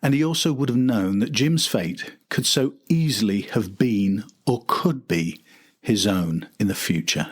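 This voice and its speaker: A man's voice: on the low side, animated, a little slow. An older man reading a scripted documentary narration as the host and storyteller.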